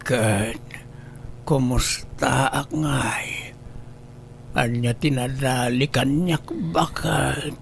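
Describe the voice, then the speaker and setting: A man's voice: medium pitch, steady tone, very slow, creaky, slight background noise. A man with an Ilocano accent playing a plaintive old village father in an amateur comedy drama. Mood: weak, plaintive. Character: old village father, a shaken pedicab driver.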